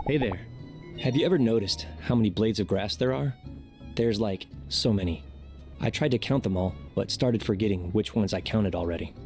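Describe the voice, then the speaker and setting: Slow-witted, kindly game townsman, male actor, spaced-out delivery on the low side. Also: darkish tone, soft background music, lightly acted. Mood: dazed wonder. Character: dim, spacey, good-natured townsman.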